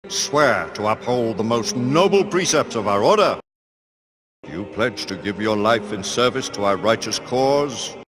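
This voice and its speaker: An actor playing the solemn elder leader of a knightly order, speaking in grave, ceremonial oratory for a video game drama. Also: male voice, lowish voice, expressive, a little slow.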